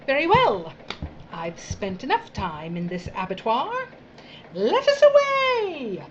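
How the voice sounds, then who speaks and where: A woman's voice: high voice, wildly swooping pitch, takes it slowly, lo-fi sound, noisy background, heavily acted. A haughty, contemptuous female official. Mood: impatient disdain. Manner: imperious, clipped, disdainful. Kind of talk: audio drama acting.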